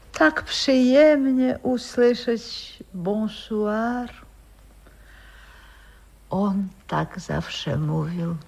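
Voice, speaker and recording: An actress in a 1960s radio play playing a wistful, proud old grandmother who was once an opera singer, reminiscing in a halting, theatrical way. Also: medium pitch, vocal fry, a little mellow, full character voice. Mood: dreamy.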